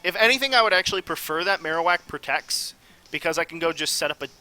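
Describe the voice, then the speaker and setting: A man's voice: fairly high pitch, expressive, fast, pushed and bright, soft background music, low-quality recording. A man giving casual, off-the-cuff commentary on a game as host, rambling and analytical as he thinks aloud. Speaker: gaming video host.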